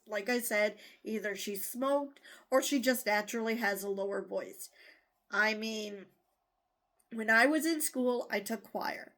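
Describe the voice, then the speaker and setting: Woman ranting about the news, slow and rambly, swears a lot, kinda high voice. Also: a bit bright. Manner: exasperated, blunt, swears freely; rambling, with many restarts and asides. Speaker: host of a news commentary show. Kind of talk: casual news commentary.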